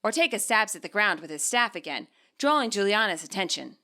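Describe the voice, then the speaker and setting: Bright, animated woman narrating a story. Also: mid-range voice, a little fast. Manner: expressive. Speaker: author and narrator.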